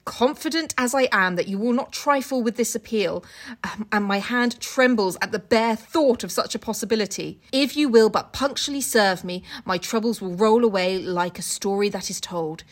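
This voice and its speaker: A woman's voice: mid-range voice, normal speed. A young woman co-hosting a podcast, chatting casually and reading aloud in an irreverent, giggly, sweary way. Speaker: co-host of a book-retelling podcast. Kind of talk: casual chat with comic read-alouds.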